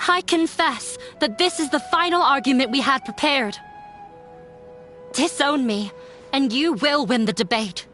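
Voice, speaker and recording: An actress voicing a resolute young woman who stands up to her scholar father in a game cutscene, speaking in a measured, formal way. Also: high voice, pushed and bright, faint music behind. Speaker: game character. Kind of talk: game voice acting. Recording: game cutscene with faint score.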